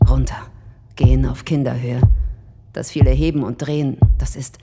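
A woman's voice: a bass voice, little pitch movement, normal speed, slightly rough. A woman speaking in a spoken-word sound collage about everyday work. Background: loud music.

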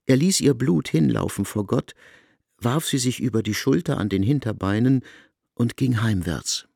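A man narrating a literary story from a script, in a measured, deliberate storytelling manner. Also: medium pitch, animated, creaky, darkish tone, slightly rough, no echo, clean studio sound.

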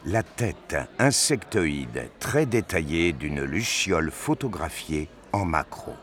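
A man narrating a scripted science-fiction audio story in a deliberate, dramatic storytelling manner. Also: sits low, big pitch swings, fairly bright tone.

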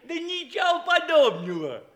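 A man's voice: extremely high pitch, sing-song, a little slow, full character voice. An actor playing a bossy, clownish buffoon courtier in a theatrical comedy radio play, pompous and stumbling over his words. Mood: playful, teasing. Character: blustering, bossy old comic buffoon courtier, fairy-tale mask.

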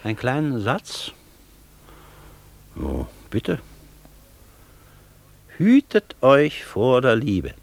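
An actor playing an aloof, world-weary old Faust who speaks in sententious, disdainful aphorisms in a German radio play. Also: male voice, mid-range voice, wildly swooping pitch, gravelly, no room sound, full character voice. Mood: cryptic. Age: older. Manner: sententious, disdainful, deliberately simple.

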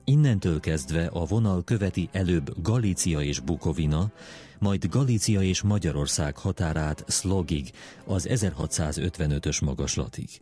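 A man with a very low, dark voice reads formal treaty text aloud. His delivery is measured and official, with faint music behind him. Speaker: document reader in a history programme.

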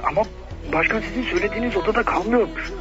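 A man's voice: sits high, rapid-fire, dull audio. A male actor in a radio play as a deferential, businesslike hired assassin, heard through a telephone filter. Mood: apologetic. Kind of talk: radio theater acting. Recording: thin phone sound over clear music.

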